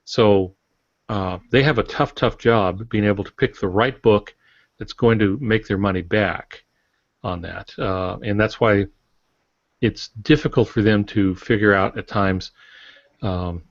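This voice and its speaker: Low-voiced man in a roomy, dull recording. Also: a little slow. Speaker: author, podcast guest or panelist. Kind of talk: casual explanatory podcast talk. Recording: dull, roomy podcast audio.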